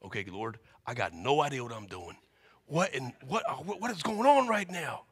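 A frightened grown man, nervous and hesitant, tripping over his words, as a preacher acts him out. His voice sits bright and fairly high. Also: brisk. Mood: panicked.

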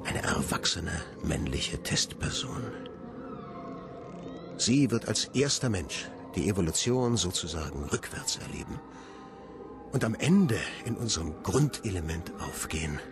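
An actor playing a cold, megalomaniac scientist in a radio play, dictating in pompous, formal language. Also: male voice, low voice, big pitch swings, exaggerated voice. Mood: coldly exalted. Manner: pompous, formal, deliberate wording. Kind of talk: dramatic scientific log monologue. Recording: music behind the voice.

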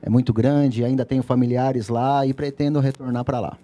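Male political guest, 40s, São Paulo Brazilian accent, medium-pitched mellow voice, formal answers into a handheld mic. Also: flat delivery, moderate pace. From Guarulhos, Brazil. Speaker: party secretary, interview guest. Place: TV studio. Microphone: handheld microphone held near mouth.

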